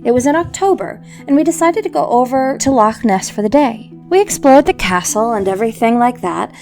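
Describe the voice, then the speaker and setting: Woman youtube host doing whimsical scripted storytelling, pitch swoops all over, kinda high voice. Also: lively intonation, fairly quick, darkish tone. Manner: theatrical, whimsical, playful wording. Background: background music.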